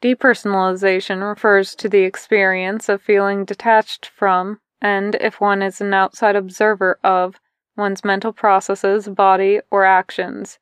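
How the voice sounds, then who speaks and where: A woman reads a story in a slow, unhurried and hushed way, her voice clean and smooth. Her phrases come in broken fragments. She sits close to a dry mic with no room sound around her. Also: medium pitch, little pitch movement, no echo. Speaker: podfic reader. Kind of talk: scripted fan fiction reading.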